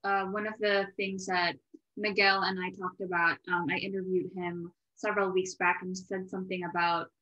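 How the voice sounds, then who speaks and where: A woman's voice: on the low side, little pitch movement, normal speed, bright, forceful tone, echoey room, lo-fi sound. A woman hosting a casual interview in a chatty, rambling way, often interrupting herself. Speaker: interview series host.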